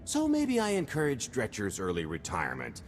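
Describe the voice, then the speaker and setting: An actor playing a smooth-talking roguish con artist in a video game, breezy, wry and sly. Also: male voice, medium pitch, wildly swooping pitch, moderate pace, a little hiss or hum. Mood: smug, needling. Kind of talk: video game cutscene acting.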